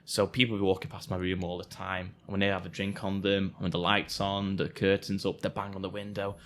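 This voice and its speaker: A man's voice: deep voice, flat delivery, fairly quick, a bit bright, a little hiss or hum. A man from Manchester with a Manchester accent, chatting casually as a podcast guest and telling anecdotes in slangy, sweary language. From Manchester, England.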